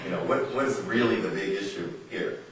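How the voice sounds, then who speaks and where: A man's voice: fairly low pitch, fairly quick, a crowd in the background. An American man, an author, giving an earnest, emphatic public book reading mixed with informal remarks to his audience. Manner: earnest, emphatic, rhetorical questions. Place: indoor literary salon before an audience. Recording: roomy echoing live venue, distant mic, faint noise.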